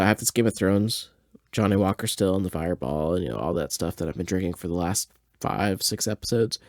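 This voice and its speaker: A man's voice: low-pitched, dry recording, clean studio sound. A man hosting a podcast, chatting casually and reacting in a hesitant, rambling way. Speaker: podcast host.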